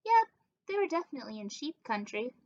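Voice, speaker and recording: A young woman reads a picture book to little children. Her voice is high and swings widely in pitch, expressive throughout. The webcam sound is slightly dull. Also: a little fast. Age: young adult. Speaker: story time reader. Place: living room indoors.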